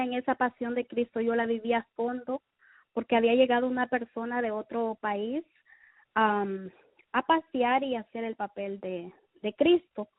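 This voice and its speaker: A woman tells her story of faith over a tinny, dry phone line. She speaks hesitantly, full of fillers and restarts, in a fairly high voice. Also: no echo. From El Salvador. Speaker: caller giving a testimony. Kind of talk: personal testimony by phone. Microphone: telephone.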